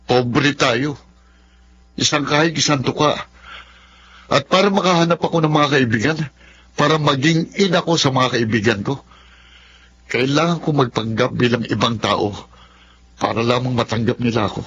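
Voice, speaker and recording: A man's voice: mid-range voice, normal speed, pauses often, fairly bright tone, lightly acted. Male actor as insecure young man, Filipino accent, radio drama, hesitant, defensive, dull recording with background noise. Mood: bitter, defiant. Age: young adult. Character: insecure young man, a pretentious debt-ridden son. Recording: muffled lo-fi audio with background noise.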